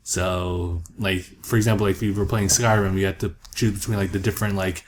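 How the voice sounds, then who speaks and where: A man's voice: deep voice, fairly even intonation, brisk, slight background noise. A man chatting easily about games, laughing often and filling his talk with "like". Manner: easygoing, laughs often, many fillers. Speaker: co-host. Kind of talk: casual gaming discussion.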